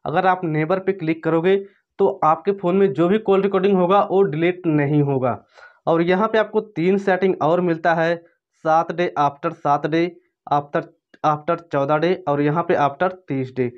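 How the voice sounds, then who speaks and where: A man's voice: a little high, steady tone, measured pace. A man narrating an informal step-by-step tutorial in repetitive, instructional phrasing. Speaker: phone tutorial presenter. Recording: dull, lo-fi voice-over.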